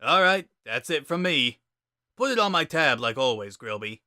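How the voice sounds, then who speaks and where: Laid-back skeleton acted by a man, wry, deadpan, casual, offhand storybook character, close dry recording. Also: medium pitch, moderate pace, no echo. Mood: easygoing, wry. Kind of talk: dramatic reading of a fan story.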